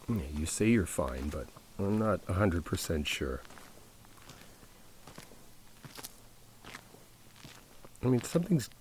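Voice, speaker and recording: A man playing a caring, laid-back best friend in an ASMR roleplay, speaking conversationally with hesitant, reflective pauses. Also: sits low, big pitch swings, darkish tone, a little hiss or hum. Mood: gently concerned.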